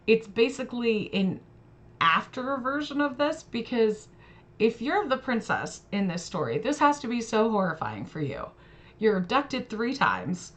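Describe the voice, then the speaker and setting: A woman's voice: medium pitch, lo-fi sound. A woman hosting a livestream, talking casually and reflectively, sharing her opinions as commentary. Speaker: livestream host reading and discussing fairy tales. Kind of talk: casual spoken commentary to a live audience.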